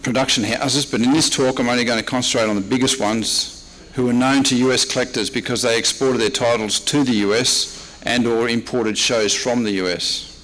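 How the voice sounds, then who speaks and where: A man's voice: mid-range voice, fairly even intonation, a little fast, hardly any pauses, a bit bright, a little hiss or hum. A man with an Australian accent giving a prepared history lecture, largely read aloud, in a reverberant convention room. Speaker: historian and archivist giving a talk.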